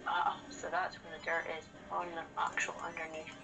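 A woman's voice: deep voice, completely flat delivery, moderate pace. A woman co-hosting a gaming channel, chatting casually with teasing, slangy banter during a let's play. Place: indoors, in front of a TV. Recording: game music over the talk. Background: video game music.

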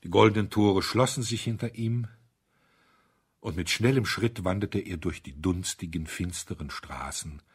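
A man with a husky voice narrating a detective story in an expressive, theatrical way. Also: sits low, moderate pace. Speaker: audiobook narrator.

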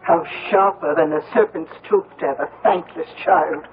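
A woman's voice: sits low, moderate pace, natural voice. An actress performs an embittered middle-aged mother in a 1970s radio drama. She declaims like a wronged martyr, theatrical and full of self-pity. The sound is narrow as a telephone line, with music underneath. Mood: aggrieved, lamenting. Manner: declamatory, lamenting. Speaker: supporting actress. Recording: muffled phone-like old radio recording, music.

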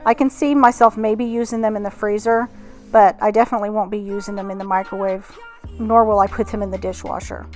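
A woman's voice: medium pitch, level tone, brisk, a little mellow, faint music behind. A middle-aged woman narrating household tasks in a casual vlog voice-over. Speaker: home organizing vlogger.

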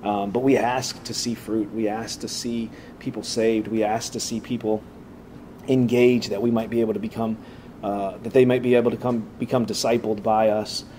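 A man opening a church class with a halting prayer and then teaching, his voice slightly raspy from preaching. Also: fairly low pitch, level tone, mellow, a little hiss or hum. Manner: halting, many "uh" fillers and restarts.

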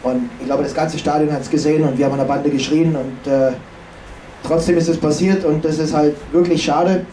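Male coach, thirties, halting and self-critical, fairly high but mellow voice in an echoey room. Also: fairly even intonation. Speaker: ice hockey coach. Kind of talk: post-game press conference analysis. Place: indoor press conference room. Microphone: handheld microphone. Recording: echoey room, distant, faint noise.

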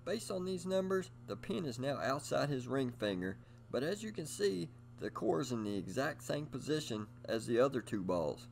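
A man's voice: medium pitch, very animated, normal speed, gravelly, hardly any pauses, a little mellow, slight background noise. A middle-aged man giving scripted instructional narration in an upbeat presenter manner, speaking straight to his viewers. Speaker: host of a bowling instruction video.

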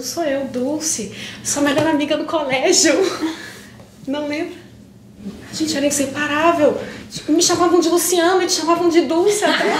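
A young actress with a Brazilian accent playing an emotional woman pleading with a long-lost friend in improvised theatre, speaking haltingly with pauses. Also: very high voice. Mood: nostalgic, animated. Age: young adult. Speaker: stage actress. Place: indoor theatre stage. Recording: roomy, distant, noisy stage recording.

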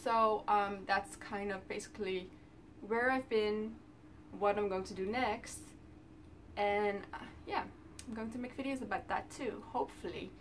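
A woman's voice: medium pitch, fairly even intonation, a little slow, far from the mic, a little hiss or hum. A woman in her twenties from the Netherlands with a Dutch accent, chatting casually to camera from her bedroom, with lots of ums while she talks with her hands. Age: 20s. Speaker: vlogger. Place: small bedroom indoors.

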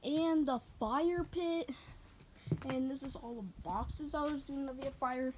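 A woman's voice: sits high, unhurried. Chatty girl giving a house tour. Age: child. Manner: chatty, rambling. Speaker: channel host. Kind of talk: home tour vlog. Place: house and yard, indoors and outdoors. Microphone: handheld phone camera. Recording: noisy phone recording.

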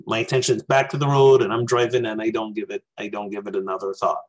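Husky-voiced man in his forties, from Barbados. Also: on the low side, flat delivery, quick pace, low-quality recording. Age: 40s. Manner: earnest, reflective, gestures with his hands. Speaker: minister. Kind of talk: spoken talk to a congregation over video call. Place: small room indoors. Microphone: webcam on a video call.

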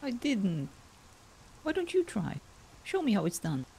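A woman's voice: mid-range voice, wildly swooping pitch, unhurried, creaky, darkish tone, a little hiss or hum, full character voice. A woman voicing an awkward, self-doubting, easily embarrassed storyteller in a fantasy game roleplay, hesitant and self-deprecating. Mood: reluctant.

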